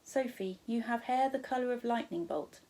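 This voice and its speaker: Storybook guardian, read by a woman: kindly, whimsical gentleman, unruffled, quaint and reassuring. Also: on the high side, expressive, moderate pace, pitched above the performer's own voice. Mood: calm, cheerful. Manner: reassuring, quaint turns of phrase. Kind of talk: children's storybook read aloud.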